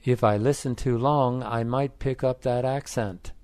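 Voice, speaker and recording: A man's voice: mid-range voice, fairly even intonation, a little slow, a little mellow, no room sound, natural voice. Man doing a devoted, teasing wife in a read-aloud story, dry close voice. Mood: earnest.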